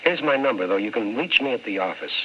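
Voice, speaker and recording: A man's voice: on the low side, animated, quick pace, slight background noise, lightly acted. An actor playing a world-weary newspaper columnist in old-time radio drama style, wry and clipped as he tells his story. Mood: guarded, earnest. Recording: muffled vintage radio recording with music.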